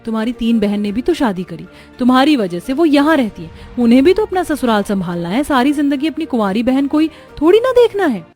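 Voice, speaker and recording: Woman acting cartoon sister-in-law, young wife, high voice, complaining, sharp-tongued, resentful, music underneath. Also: big pitch swings, a little fast, hardly any pauses. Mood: scolding. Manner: complaining, scolding. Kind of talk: Hindi cartoon story dialogue. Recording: music behind the voice.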